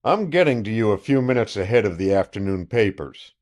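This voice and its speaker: A man reading aloud voices a self-satisfied male county sheriff who is loud, triumphant and blustering. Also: fairly low pitch, expressive, brisk, close to an everyday voice. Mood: boastful.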